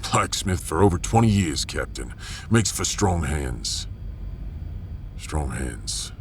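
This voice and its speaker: A man playing a gruff, proud middle-aged blacksmith with a blunt, weighty manner in a sci-fi audio drama. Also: very deep voice, very animated, takes it slowly, a little hiss or hum, exaggerated voice.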